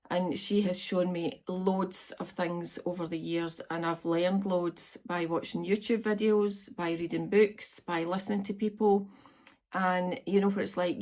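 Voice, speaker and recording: Woman, fifties, Scottish accent, lowish pitch, even tone, casual home vlog chat. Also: unhurried. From Scotland. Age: 50s. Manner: chatty, meandering, frequent ums. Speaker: vlogger. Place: at home on a farm, indoors.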